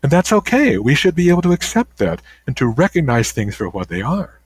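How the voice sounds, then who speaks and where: An older man, a guest author, answering interview questions in a thoughtful, halting way with many restarts. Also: fairly high pitch, very animated, husky, no room sound.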